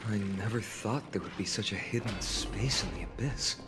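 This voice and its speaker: A man's voice: on the low side, moderate pace, close to an everyday voice. A man playing an earnest, courteous young hero in a video game, thoughtful with a dry wit. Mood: curious. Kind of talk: video game character acting. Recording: game music behind the voice.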